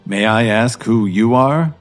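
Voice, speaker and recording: Calm, influential big-shot businessman, acted by a man. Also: lowish voice, measured pace, faint music behind, lightly acted. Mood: calm, authoritative. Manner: calm, assured. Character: influential, composed businessman, deferential to old benefactor. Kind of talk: audio drama acting.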